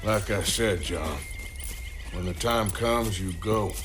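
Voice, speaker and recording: A worn-down, disillusioned outlaw from a Western game. He speaks low and very slowly in an American Western drawl, heavy and tired of it all. Background noise sits clearly behind him. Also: a bit bright. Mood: earnest, urgent. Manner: slow, heavy, world-weary delivery. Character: weary, disillusioned American outlaw gunman facing his end. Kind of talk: naturalistic dramatic game acting.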